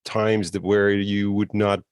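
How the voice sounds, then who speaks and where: A man's voice: fairly low pitch, flat delivery, slow, smooth, no room sound, studio quality. A man hosting a casual interview, asking rambling questions with many restarts and offering encouraging "yeah" and "mm-hmm" as he listens. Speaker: interviewer, host.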